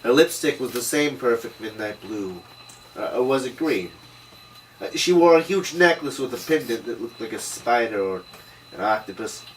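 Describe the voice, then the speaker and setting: A man's voice: mid-range voice, lively intonation, moderate pace, close to an everyday voice. A man playing a world-weary, middle-aged 1930s detective, narrating wryly and slangily at a table read. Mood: brooding, wry. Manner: wry, slangy. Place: room at a script table read. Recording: distant mic, faint background noise.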